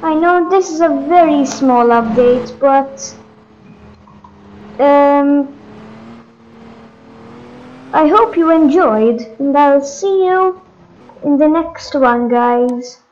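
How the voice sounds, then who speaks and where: High-pitched, slow-talking gaming video host. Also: a little mellow. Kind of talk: casual game update walkthrough commentary. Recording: dull, lo-fi microphone.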